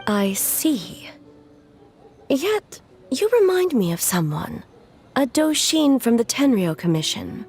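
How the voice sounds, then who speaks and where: Solemn, regal immortal ruler. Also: female voice, on the high side, sing-song, darkish tone, husky, soft background music, heavily acted. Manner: formal, measured, stately. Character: ageless solemn female ruler, regal and reserved. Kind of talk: video game voice acting.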